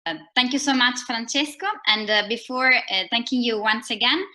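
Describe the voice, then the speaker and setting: A woman's voice: fairly high pitch, moderate pace, sharp tone. A woman moderating an online panel, friendly and filler-heavy, with slightly non-native English phrasing. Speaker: webinar moderator. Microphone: video call.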